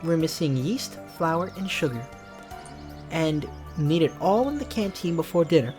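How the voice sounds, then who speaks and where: A man voicing a brisk, bossy, teasing female camp leader in a visual novel. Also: a little high, animated, a little mellow, pitched above the performer's own voice, natural voice. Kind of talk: visual novel character reading. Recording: music behind the voice.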